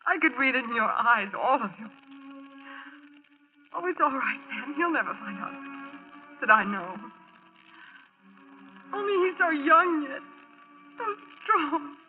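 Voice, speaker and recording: Expressive devoted wife, tinny old radio. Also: female voice, sits high, a little slow, pauses often, faint music behind. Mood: grieving. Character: devoted young wife, brave, tender, perceptive. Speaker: actor in a radio drama. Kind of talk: 1940s radio acting. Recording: noisy, tinny old radio broadcast recording.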